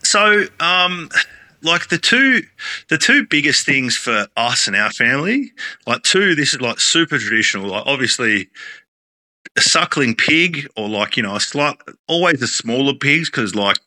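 A man's voice: medium pitch, sing-song, a little slow, bright, forceful tone. A man, a chef talking casually as a guest, rambling with many fillers and restarts. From Australia. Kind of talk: casual interview talk.

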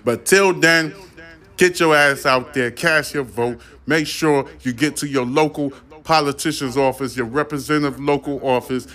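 A man hosts his own podcast in a loose, unscripted rant. He talks bluntly, swears freely and keeps pushing his opinions straight at the listener, in a voice of middling pitch. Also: a bit bright. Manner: blunt, profane, ranting. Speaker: podcast host. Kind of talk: casual opinion monologue.

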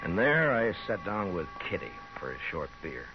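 An American actor playing a seasoned, steady and wry frontier marshal in a 1950s radio western. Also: male voice, sits low, moderate pace. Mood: measured narration. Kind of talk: 1950s radio western acting and first-person narration. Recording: dull vintage radio recording with music.

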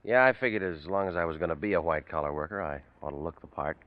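A man plays a cool drifter hiding out in a 1940s radio drama. His voice is very low, his talk laconic and unruffled. The recording is old and dull. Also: fast, no room sound, lightly acted. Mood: casual.

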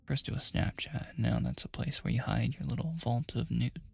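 A man playing a smitten, insistent young man in a boyfriend ASMR roleplay, speaking softly and haltingly, at times in a breathy, coaxing whisper. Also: medium pitch. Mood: hushed, urgent.

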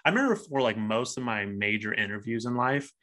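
A man in his thirties co-hosts a relaxed podcast conversation. His voice is bright and forceful at a middle pitch. He tells stories with animation and laughs easily. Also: fairly quick. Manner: chatty, laughs often, animated storytelling. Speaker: podcast co-host. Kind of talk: casual chat.

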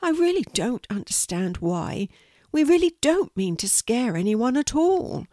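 A woman voicing a tiny, timid male spider in a children's storybook, gentle and sad, with a plaintive, tentative delivery. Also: a little high, big pitch swings, a little slow, dry recording, studio quality, pitched above the performer's own voice, heavily acted. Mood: sad, puzzled.

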